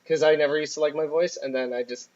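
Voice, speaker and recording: A man's voice: steady tone, fast, a little mellow. Nasal young male podcast host, rambling and self-mocking, muffled recording. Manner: self-deprecating, rambling with restarts. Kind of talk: casual film podcast chat. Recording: muffled low-quality recording.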